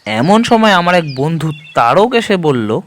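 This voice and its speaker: A man narrating a first-person horror story dramatically, in measured, expressive phrases with deliberate pauses. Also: sits high.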